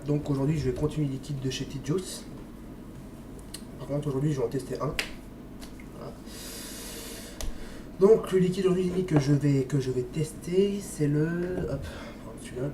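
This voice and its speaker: A man with a slight cold chatting casually through a product review vlog, hesitant and friendly, often stopping to address his viewers. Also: on the high side. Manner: chatty, hesitant, says "les amis" and "hop" often. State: stuffy with a cold, coughing. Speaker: vape liquid reviewer. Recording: echoey room, distant mic, noisy.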